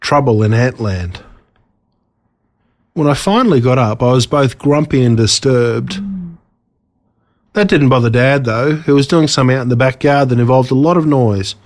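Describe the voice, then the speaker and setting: An Australian man reads a children's story as a narrator, expressively and with dramatic pauses on key words. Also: mid-range voice, lively intonation. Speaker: author reading own story.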